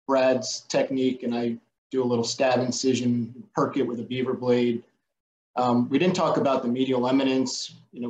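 A man on a video call, a surgeon describing his technique in a measured, methodical way. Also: mid-range voice, a little mellow, lo-fi sound. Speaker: surgeon panelist. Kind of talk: clinical explanation. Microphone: video call webcam. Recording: echoey room with faint noise.

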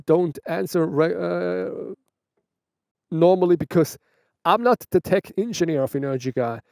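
A man's voice: high-pitched, a little mellow, no echo, clean studio sound. A man from Switzerland with a Swiss German accent, answering viewer questions in a casual vlog outdoors, chatty and often restarting his sentences. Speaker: motovlogger. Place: outdoors by a roadside bench.

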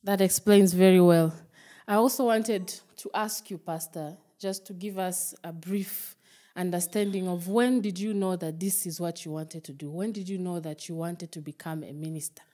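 A woman's voice: on the low side, fairly even intonation, moderate pace, mellow. A woman hosting a studio interview, speaking formally and courteously. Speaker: interview host.

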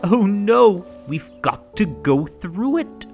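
A man reading a children's story aloud in a theatrical, rhythmic and expressive way. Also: high voice, slow pace, vocal fry. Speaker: storybook narrator. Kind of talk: animated children's read-aloud. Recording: music under the voice. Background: music.

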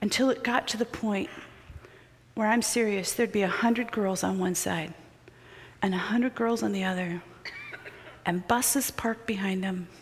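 Woman preaching in a reverberant sanctuary. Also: mid-range voice. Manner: storytelling, swings from loud urgency to hushed near-whispers. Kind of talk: sermon with personal stories. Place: large church sanctuary with choir loft. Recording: long hall reverb of a large sanctuary.

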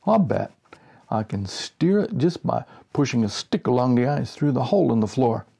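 A man reading a storybook, voicing a cocky, excitable backwoods outdoorsman with a folksy rural American accent. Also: medium pitch, normal speed, vocal fry, slightly rough, heavily acted. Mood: cocky, scheming. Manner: folksy, exclamatory.

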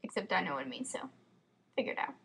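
A woman's voice: mid-range voice, sing-song, creaky, fairly bright tone, a little hiss or hum. A teenage girl from Florida with an American accent and a slight lisp, chatting casually and rambling into her computer webcam at home. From Florida, United States. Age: teens. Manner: quick, chatty, rambling with many fillers. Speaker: fan vlogger. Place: home room with bookshelves, indoors. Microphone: built-in computer webcam mic.

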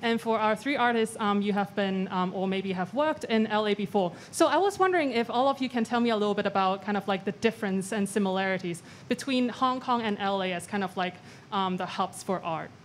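A woman's voice: medium pitch, slight background noise. A young woman moderating a panel talk in a large gallery, speaking politely into a handheld mic and reading from notes. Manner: polite, measured, reads from notes.

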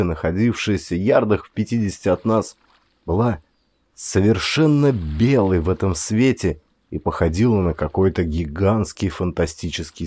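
A man reading a first-person story aloud as an audiobook narrator, measured and expressive. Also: deep voice, breathy edge.

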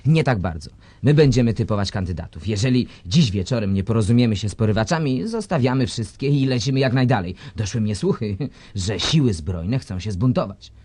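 A sly, sardonic male minister in a radio play, plotting his escape with dry amusement. The voice sits low and husky. It is recorded close and dry. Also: lively intonation, a little fast, a little mellow, no room sound. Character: scheming male government minister, sly and cynical. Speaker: government minister. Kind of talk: radio drama acting.

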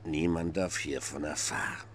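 An actor playing a menacing, middle-aged Soviet dictator in a game cutscene, commanding and theatrical, shifting between hush and shouting. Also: male voice, a bass voice, steady tone, normal speed, slight background noise. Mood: confiding, menacing. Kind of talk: dramatic game cutscene acting.

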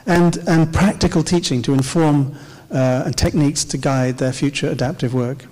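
A middle-aged man giving a conference keynote on a large stage, speaking into a handheld mic in a measured, explanatory way with the odd pause. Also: on the high side, a little mellow. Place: large dark auditorium stage. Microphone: handheld microphone. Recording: long hall reverb.

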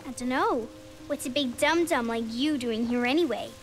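A woman's voice: sits high, normal speed, soft background music, close to an everyday voice. A woman voicing a bratty, defiant little girl in a video game, with a snippy, sulky manner.

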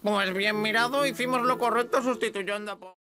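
A woman voicing a placid, slow, food-loving snail in a children's cartoon, with an unhurried, drawling manner. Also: fairly high pitch, fairly even intonation, very noisy, close to an everyday voice. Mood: reflective. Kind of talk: animated children's cartoon dub.

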